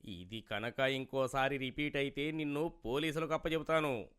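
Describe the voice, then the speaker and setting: A man performs a stern bank cashier for an animated moral story. The voice is forceful and very clear. He speaks curtly, as an official handing out a warning. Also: mid-range voice, moderate pace, natural voice. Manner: curt, admonishing. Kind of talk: cartoon moral story character acting.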